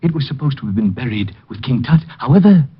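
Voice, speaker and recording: An actor playing a frightened, paranoid heir, agitated, stammering and pleading, in old radio drama style. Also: male voice, mid-range voice, lively intonation, fast talker, slightly rough. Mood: ominous, intense. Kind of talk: 1940s radio drama acting. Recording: dull vintage radio recording.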